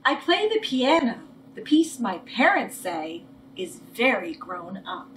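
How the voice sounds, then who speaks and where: A woman reading a children's storybook aloud in an animated way, with some faint background noise. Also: on the high side, takes it slowly, rough recording.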